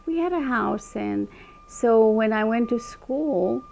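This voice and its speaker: A woman's voice: on the high side, lively intonation, gravelly, darkish tone, dull audio, a little hiss or hum. An older woman, a state representative, unhurriedly recalling her childhood in an oral history interview. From Montana, raised in Eastern Washington. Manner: reflective, unhurried storytelling with frequent restarts.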